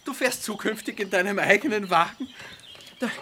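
An actor playing an exuberant, boyishly delighted male composer in a period radio drama, effusive and excitable. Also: sits high, moderate pace, a little hiss or hum. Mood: astonished delight.

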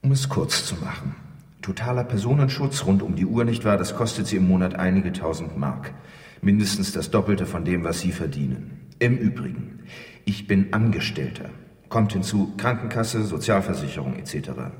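An actor playing a gruff, condescending bodyguard with a dismissive, sardonic manner in a German radio drama. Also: male voice, low voice, animated, normal speed, darkish tone. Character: gruff, condescending male bodyguard, strong and scornful. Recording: echoey hall, distant from mic.

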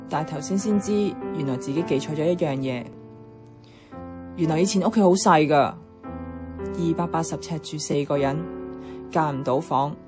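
A woman's voice: fairly low pitch, natural voice. A woman acting a nostalgic, stubborn character in a Cantonese radio drama, wistful yet matter-of-fact, with a Hong Kong accent. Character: nostalgic, stubborn woman attached to childhood housing estate. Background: guitar music.

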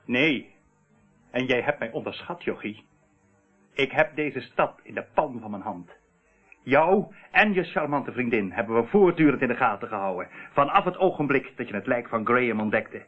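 A man's voice: medium pitch, lively intonation, moderate pace, lightly acted. An actor playing a smug, slippery criminal who feigns innocence in a radio play, theatrical in his delivery. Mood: smug, menacing. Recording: tinny, narrow-band old radio recording.

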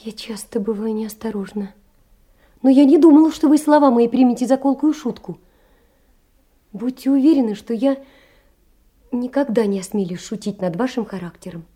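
An actress playing a poor, lonely young seamstress in an audio play, tender and emotional, often dropping to a breathy whisper. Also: female voice, a little high, moderate pace, pauses often, warm tone, close to an everyday voice. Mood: apologetic, pleading. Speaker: stage actress. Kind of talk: theatrical audio-play monologue of letters.

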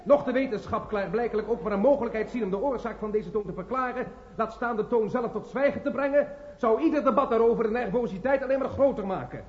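An actor playing an exasperated, long-winded politician giving a formal, declamatory parliamentary speech in a radio play. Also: male voice, extremely high pitch, quick pace. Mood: formal, defensive. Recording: echoing hall, noisy crowd, dull old recording.

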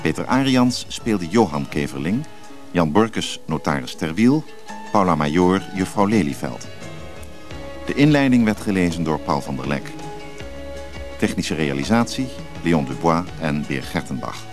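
A man's voice: sits low, animated, a little slow. A man reading closing credits as a formal, scripted radio drama announcement. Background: music.

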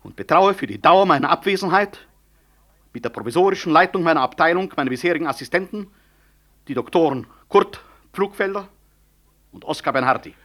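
An actor in a 1960s German radio play, playing a proud, defiant professor and director who speaks in formal, ironic rhetoric. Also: male voice, on the high side, lively intonation, brisk, fairly bright tone. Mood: proud, curt.

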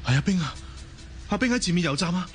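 Romantic lead for radio drama: headstrong Hong Kong youth in love, lively swooping intonation, urgent, music underneath. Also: male voice, sits high, quick pace. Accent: Hong Kong Cantonese. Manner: impassioned, urgent. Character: headstrong, lovesick young man. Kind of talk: Cantonese radio drama acting.

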